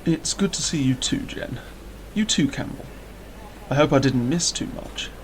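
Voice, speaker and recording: A man playing a calm, literal-minded starship engineer in a science-fiction audio drama, precise and deadpan. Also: mid-range voice, normal speed, slight background noise, natural voice, poor audio. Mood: calm, dry.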